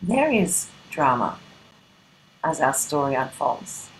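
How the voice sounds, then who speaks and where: Slow, theatrical woman narrator. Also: sits low, big pitch swings, a little hiss or hum, poor audio. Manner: theatrical, broken into short deliberate phrases. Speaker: narrator of a play. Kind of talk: dramatic scripted narration.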